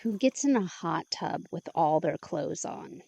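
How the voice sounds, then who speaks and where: Husky, slow-talking teasing young woman, played by a woman. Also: fairly low pitch, lively intonation, dark tone, a little hiss or hum. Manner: teasing, playful, drawling. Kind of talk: ASMR audio drama acting.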